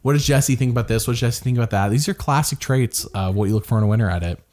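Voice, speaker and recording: A man's voice: mid-range voice, fast talker, a little mellow. A man co-hosting a podcast, talking through a reality show in casual chat with restarts and laughs. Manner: rambling, with restarts and frequent laughs. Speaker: podcast co-host.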